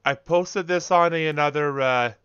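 Higher-pitched man doing casual game commentary. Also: normal speed, a bit bright, clean tone, dry recording, lo-fi sound. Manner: informal, reactive. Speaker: gamer, video host.

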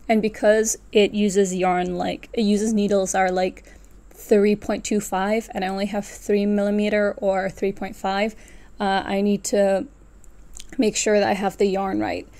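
A woman in her twenties talks casually to camera at home. Her voice is dark and relaxed, sitting at an ordinary female pitch. She rambles a little, muttering to herself and correcting what she just said. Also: measured pace. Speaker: knitting vlogger. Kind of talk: casual chatty yarn haul to camera. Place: small furnished room at home, indoors.

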